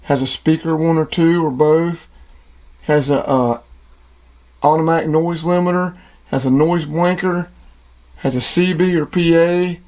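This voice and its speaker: A man's voice: a little high, a little mellow. A man casually showing off radio gear, plain-spoken and enthusiastic, like a hobbyist hosting his own video. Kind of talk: casual product demonstration.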